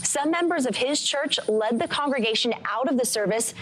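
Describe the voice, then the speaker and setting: A woman's voice: high voice, normal speed. A woman reporter delivering a scripted television news report. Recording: noisy audio with clicks.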